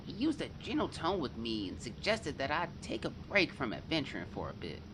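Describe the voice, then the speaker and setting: A woman's voice: a bass voice, wildly swooping pitch, fairly quick, noisy background, full character voice. A woman playing a frightened child who recounts an ordeal in an audio drama, with emotive, dramatic storytelling. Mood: subdued. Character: frightened young Cain, a child telling a past ordeal. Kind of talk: dramatic first-person audio drama narration.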